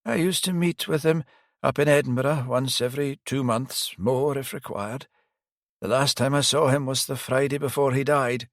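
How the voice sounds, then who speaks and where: A man puts on an elderly priest with a gentle, grieving manner, lifting his voice high above his own. The old cleric speaks slowly and softly, weighing each word. The recording is dry and close, with no room sound. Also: steady tone, dry recording, lightly acted. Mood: sorrowful. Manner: soft, slow and sighing, higher than the narrator.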